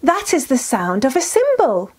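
High, animated female children's storyteller. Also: normal speed, a little hiss or hum. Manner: enunciated, encouraging, asks listeners questions. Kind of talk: scripted children's storytelling and teaching.

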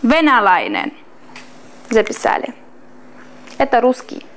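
High, lively woman teaching slowly. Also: a little hiss or hum. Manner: slow, clearly drawn-out pronunciation of foreign words. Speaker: language teacher. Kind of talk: classroom teaching. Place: small classroom with whiteboard.

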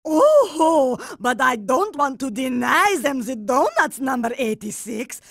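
A creaky old granny villain, sickly sweet n menacing, talks real slow, cartoon game. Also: female voice, high-pitched, sing-song, dry recording, studio quality, full character voice. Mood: sickly-sweet menace. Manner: sickly-sweet, gloating, drawn-out. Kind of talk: cartoon video game voice acting.

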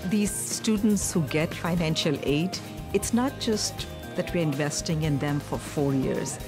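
A woman's voice: low-pitched, unhurried. A middle-aged woman, a faculty member, speaking emphatically in a documentary interview. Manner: emphatic, repeating for stress. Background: soft music bed.

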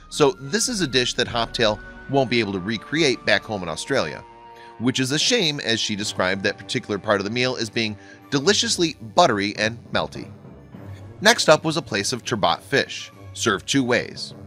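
Bright, lively male voice-over narrator. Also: moderate pace. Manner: lively, bouncy read with wide pitch swings. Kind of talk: scripted online video narration. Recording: faint music bed behind the voice.

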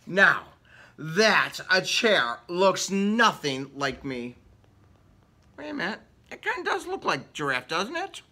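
A man in his fifties reads a children's story in a theatrical, lively way. His pitch swings widely and his tone is bright, at an unhurried pace. He breaks off for casual asides and questions to young listeners. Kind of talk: animated picture-book read-aloud with casual asides. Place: indoor room with book cut-outs.

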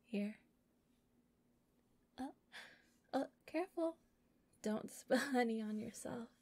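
A woman playing a shy, doting, possessive yandere captor in an intimate roleplay audio, softly and breathily. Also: a little high, slow pace, no echo. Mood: doting, gentle. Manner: soft, breathy, often whispering, giggly, stammering when flustered.

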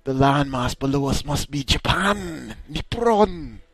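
A man's voice: fairly high pitch, lively intonation, takes it slowly, fairly bright tone, breathy edge, no echo. A man streaming a game, reading its text aloud with crude, mocking jokes, his accent a mix of British, Caribbean and American. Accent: mixed British, Caribbean and American.

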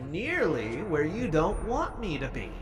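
Casting: quippy, defensive young hero, voiced by a man, fairly high and very animated, in long reverb. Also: a little slow. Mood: sarcastic. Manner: quippy, sarcastic. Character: wisecracking young male superhero, stubborn, defensive, earnest. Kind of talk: video game fandub acting. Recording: long reverb, game music behind.

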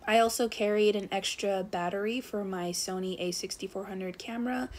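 A woman walks through the gear she carried on a long hike, her voice sitting at an ordinary middle pitch. She talks in a relaxed, unscripted way, pausing on little fillers as she recommends things. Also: a little hiss or hum. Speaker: hiker reviewing her gear. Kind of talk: casual gear review narration.

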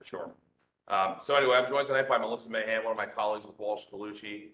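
A man, a land use attorney, walking a community meeting through slides, loud-voiced and casual, with many fillers and restarts. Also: on the low side, fairly even intonation, quick pace. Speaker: land use attorney presenting a rezoning case. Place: community meeting room, standing to speak. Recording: echoey meeting room, faint noise.

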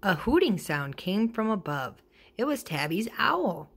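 Woman, children's storybook read-aloud, slow pace, animated intonation, expressive delivery. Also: medium pitch, slight background noise. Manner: expressive, acts out character dialogue. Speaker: storybook reader.

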